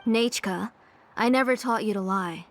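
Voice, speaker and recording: A woman's voice: fairly high pitch, measured pace. A woman playing a protective, courteous but firm mother in a video game, speaking with restraint. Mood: stern. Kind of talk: video game character acting.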